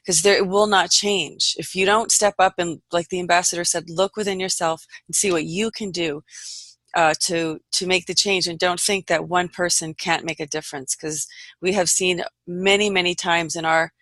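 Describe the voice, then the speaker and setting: A woman's voice: on the low side, fairly even intonation, moderate pace, fairly bright tone, no room sound. Woman hosting, close dry sound. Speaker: host of an online panel report. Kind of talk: unscripted panel hosting.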